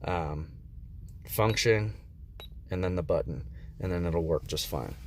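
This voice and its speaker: Male amateur reviewer, deep register, easygoing and hesitant, unscripted, slight background noise. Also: unhurried. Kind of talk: casual unscripted product review.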